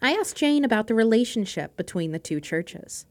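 A woman's voice: on the high side, sing-song, moderate pace. Woman narrating a local history podcast. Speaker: host, narrator. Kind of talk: scripted narration.

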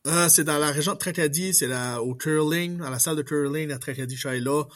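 A man's voice: on the high side, a little fast, a bit bright. A man, a pro wrestler guesting on a podcast, talking with enthusiasm and slipping in English words. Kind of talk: casual interview chat.

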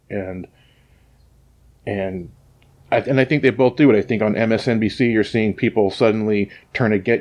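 Rambling low-voiced male podcaster. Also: darkish tone. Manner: rambling, many restarts, opinionated. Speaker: podcast co-host. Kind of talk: casual chat. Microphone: sits close to the microphone.

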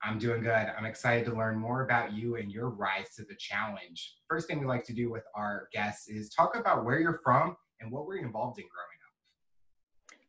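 Male podcast interviewer, crisp bright tone, fairly low pitch, conversational, recorded in a reverberant room. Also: normal speed. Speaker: podcast host. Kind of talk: podcast interviewing, casual questions.

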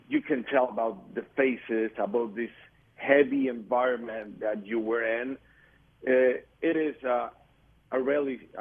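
Earnest man on a tinny line. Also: medium pitch. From Venezuela. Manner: earnest, searching for words, frequent restarts. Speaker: guest soccer commentator. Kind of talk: reflective interview talk. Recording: tinny phone-line audio.

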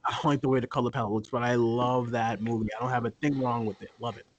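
A man's voice: medium pitch, steady tone, quick pace, muffled recording. A man hosting a casual horror film chat, speaking with enthusiasm and emphasis and often repeating his phrases. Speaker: horror podcast host.

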